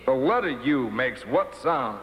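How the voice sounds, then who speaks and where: A man's voice: medium pitch, very animated, measured pace, a bit bright. A man playing a patient temple master who quizzes his pupil theatrically in a children's educational TV comedy. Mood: encouraging. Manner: theatrical, questioning, teacherly. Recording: noisy old TV soundtrack.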